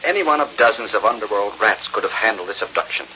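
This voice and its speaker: Troubled middle-aged official dad, really sharp low voice, halting, dull old radio drama sound. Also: male voice, expressive, a little hiss or hum. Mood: resigned, earnest. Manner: halting, earnest, dramatic delivery. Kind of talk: 1930s-40s radio drama acting.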